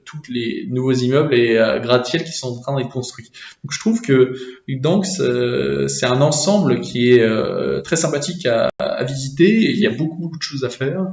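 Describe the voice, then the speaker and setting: A young French man from Normandy, answering interview questions casually with many hesitant pauses. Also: mid-range voice, vocal fry, roomy sound, muffled recording. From France, Normandy.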